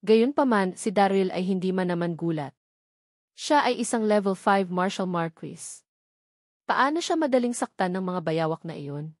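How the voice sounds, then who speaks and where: A woman reads a novel aloud as a scripted narrator, formally and in measured, separate phrases. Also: mid-range voice, lively intonation, dry recording, studio quality. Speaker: audiobook narrator.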